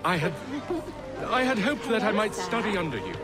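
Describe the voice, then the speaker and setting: A man's voice: high voice, big pitch swings, moderate pace, over a noisy crowd. An actor playing a young male officer in a game, eager, formal and deferential. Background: loud music.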